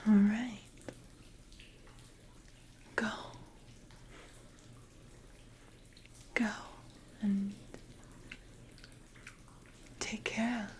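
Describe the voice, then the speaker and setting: A woman playing a predatory, commanding siren in an ASMR roleplay, whispering breathily with slow, deliberate, menacing calm. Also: mid-range voice, slight background noise. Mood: calm, controlling. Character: predatory, commanding female siren possessing her victim.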